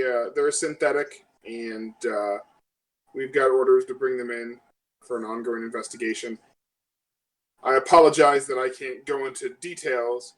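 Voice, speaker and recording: A man playing a professional, diplomatic female marshal in a tabletop game, speaking formally and courteously with halting phrasing. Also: mid-range voice, a bit bright, natural voice.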